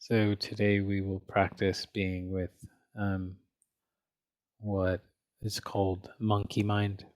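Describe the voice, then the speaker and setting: A man leading a guided meditation as a teacher, gently and unhurriedly, leaving frequent pauses between phrases. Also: deep voice, completely flat delivery.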